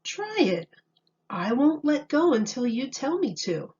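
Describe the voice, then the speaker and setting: A woman's voice: high-pitched, very animated, unhurried, a little mellow, dull audio, voice pushed up. Woman as patient, coaxing storybook mother turtle. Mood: encouraging. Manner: coaxing, reassuring.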